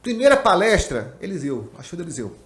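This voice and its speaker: A man in his fifties from Pernambuco, hosting a podcast and chatting in an animated, slangy way. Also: sits high, fast talker, slight background noise. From Pernambuco, Brazil. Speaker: podcast host, accountant. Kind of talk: casual chat. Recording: echoey room.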